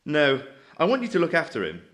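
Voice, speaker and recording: An actor playing a greedy, scornful college master in a comic radio play, with an imperious, dry, exasperated manner. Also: male voice, a little high, wildly swooping pitch, a little fast, a bit bright, heavily acted. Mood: scheming, smug.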